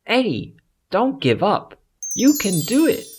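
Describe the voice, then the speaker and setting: A storybook narrator voicing a small, friendly butterfly fairy who speaks gently and cheers the listener on. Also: medium pitch, big pitch swings, slow, slight background noise, voice pushed up. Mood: encouraging.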